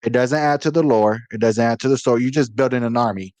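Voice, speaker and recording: A man's voice: medium pitch, fast, a little mellow, no echo. A man co-hosting a casual gaming podcast, critical and opinionated, repeating his points for emphasis. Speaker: podcast co-host.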